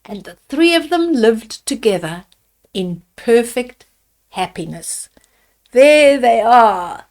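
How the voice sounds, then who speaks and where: Lady storyteller reading to little kids, really slow and deliberate, bit high pitched, chats to listeners. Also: a little mellow. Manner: deliberate, addresses children with simple questions and comments. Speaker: storyteller for children. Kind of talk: fairy tale read-aloud with asides to young listeners.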